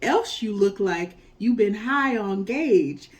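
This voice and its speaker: A woman voicing a cheeky, boastful male monkey who taunts in a high, sassy voice. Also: expressive, slow. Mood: taunting.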